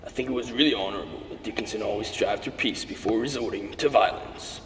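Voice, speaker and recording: A man's voice: on the low side, fast, natural voice. A teenage boy playing earnest historical characters in an amateur puppet show, reciting scripted lines somewhat stiffly. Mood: earnest, argumentative. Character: opinionated questioner, later a modest, honorable colonial gentleman. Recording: roomy reverberant recording with background hiss.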